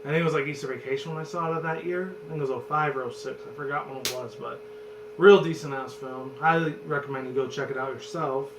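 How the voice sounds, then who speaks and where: Hoarse young man rambling in a roomy space. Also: mid-range voice, steady tone, fast. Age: 20s. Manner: loose and profane, rambles and restarts. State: hoarse from screaming. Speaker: channel host. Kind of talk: rambling casual movie chat. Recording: roomy, distant, noisy with hum.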